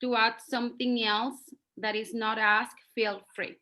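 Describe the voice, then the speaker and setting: Woman, native Spanish speaker, high-ish pitch, sharp bright tone, informal class host on a video call. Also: little pitch movement, measured pace. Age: middle-aged. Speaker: teacher hosting a class interview. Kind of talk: informal online class introduction.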